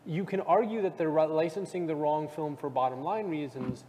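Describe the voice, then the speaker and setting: A man taking part in a live panel discussion, talking in an animated, emphatic way. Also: fairly high pitch, normal speed, slight background noise. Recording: live panel in a reverberant hall.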